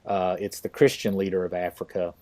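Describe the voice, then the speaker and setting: A man hosting a podcast interview, talking casually and haltingly with many fillers and restarts. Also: low voice, level tone, a little mellow. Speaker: podcast host.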